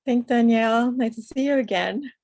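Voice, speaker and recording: A woman's voice: on the high side, moderate pace, a little mellow, no room sound. A woman raised in New York, a guest on a video call, speaking reflectively and searching for her words. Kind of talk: casual conversation over a video call.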